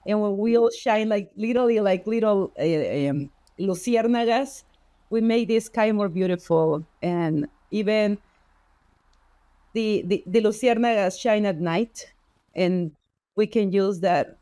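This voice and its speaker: A woman with a Spanish accent, a native Spanish speaker, telling personal stories as a podcast guest in an expressive, heartfelt way. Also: medium pitch, measured pace, no room sound. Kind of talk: personal storytelling in conversation.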